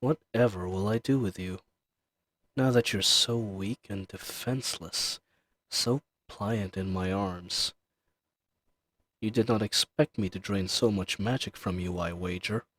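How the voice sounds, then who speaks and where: A man plays an ancient male dragon in an intimate fantasy roleplay. The voice is fairly low and very unhurried, with a haughty, teasing manner and formal, archaic phrasing. He sounds dangerous yet tender toward the one he addresses. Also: fairly bright tone. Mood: amused, condescending. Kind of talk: dramatic roleplay audio addressed to the listener.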